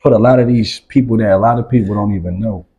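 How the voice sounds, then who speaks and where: A man interviews a guest in easy, rambling street talk, repeating himself as he builds a point. His voice is husky and mellow, with a relaxed, unhurried warmth. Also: on the low side, dull audio. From Philadelphia. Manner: loose, rambling, repeats words, slangy. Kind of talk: casual interview chat.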